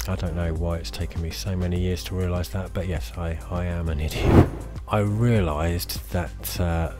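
Man, hoarse, deep voice, laid-back self-deprecating commentary, background music. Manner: relaxed, self-deprecating, many fillers. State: hoarse, losing his voice. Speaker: let's play host. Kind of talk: casual game commentary. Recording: music playing behind the voice.

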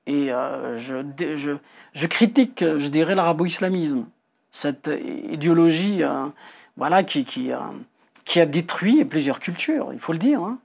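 A man's voice: on the high side, animated, a little slow, creaky, darkish tone, slightly rough. A middle-aged man from Algeria, a Kabyle speaker, explaining his views as an author in a TV interview, hesitantly repeating words and stressing key points. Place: indoor television studio.

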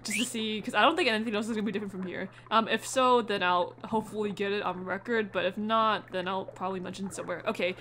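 A woman's voice: medium pitch, steady tone, fast talker, hardly any pauses, slight background noise. A woman doing let's play commentary on a video game, slangy, reacting aloud and muttering to herself. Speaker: gaming video host. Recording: music behind the voice. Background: loud video game music.

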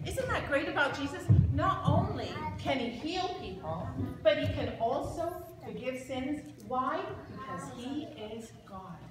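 A middle-aged woman with a high voice tells a story to children in an animated, chatty way. She is on a handheld mic in a noisy, echoey church. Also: a little slow. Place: large church sanctuary. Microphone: handheld microphone.

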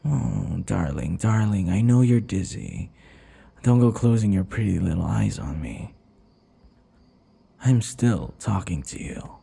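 Male actor as centuries-old vampire captor, very husky, slow-paced, swooping intonation, possessive, teasing, mock-tender, ASMR roleplay. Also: low voice, expressive, mellow, full character voice. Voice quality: breathy, husky. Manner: slow, mock-tender, taunting, often dropping to whispers. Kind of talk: ASMR boyfriend roleplay, intimate dramatic monologue.

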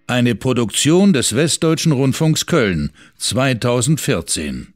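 A man's voice: mid-range voice, very animated, slow. A man announcing scripted credits and titles for an audio drama. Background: loud music.

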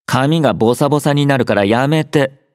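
An actor playing a gentle, quietly caring young male android soldier in a Japanese game, soft-spoken, drowsy and composed, with a mildly teasing manner. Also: medium pitch, fairly quick, no room sound, clean studio sound, close to an everyday voice. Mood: playful, patient. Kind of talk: Japanese game character voice acting.

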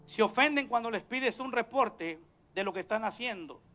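A man in his thirties preaching from a pulpit on a church stage into a handheld microphone, building from calm teaching to shouts. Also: very high voice, moderate pace. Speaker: pastor. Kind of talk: bilingual church sermon.

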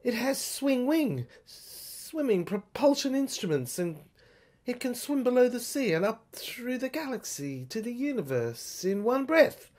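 A woman's voice: on the high side, big pitch swings, unhurried, a little mellow, pitched above the performer's own voice. A woman voicing a proud, commanding Amazon woman in an audio story, with emphatic, declamatory delivery. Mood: boasting.

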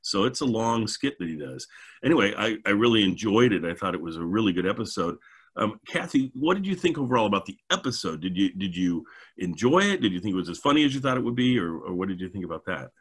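A middle-aged man with a husky voice, hosting a casual podcast discussion. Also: on the low side, sing-song, fast, fairly bright tone. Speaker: podcast host. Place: indoors, on video call.

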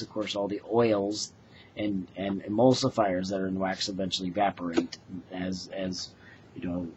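A man in his forties from the United States gives an earnest, halting product demonstration as a craftsman, recorded indoors on a webcam mic. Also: fairly low pitch, a little hiss or hum. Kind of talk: informal product demonstration to camera. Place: small bedroom, indoors. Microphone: webcam or laptop mic at desk distance. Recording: dull, lo-fi webcam sound.

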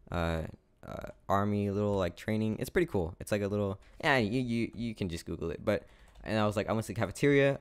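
A young American man with a low, smooth voice, telling a story in a casual gaming video. He rambles in loose slang, and the sound is close and dry. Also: no echo. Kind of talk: casual storytelling over gameplay.

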